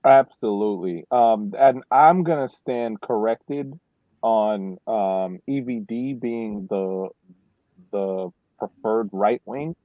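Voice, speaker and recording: A man's voice: fairly low pitch, slow, no echo. A man giving casual soccer opinions as a podcast panelist, recorded on a dry, lo-fi microphone. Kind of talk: casual soccer analysis chat. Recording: dry, slightly dull lo-fi mic.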